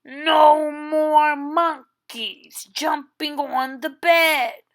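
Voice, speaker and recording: A woman voicing a stern, exasperated doctor in a children's picture book, chiding with drawn-out emphasis. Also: high voice, fairly even intonation, pitched above the performer's own voice, heavily acted. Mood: stern scolding.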